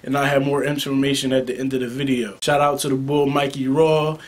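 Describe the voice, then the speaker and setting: A young man with an American accent talks casually to the camera like a barber showing his tools. His voice sits at a middle pitch. He is informal and emphatic, coming back to key words to drive them home. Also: level tone, fairly quick. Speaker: barber and video host. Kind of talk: casual product talk to camera.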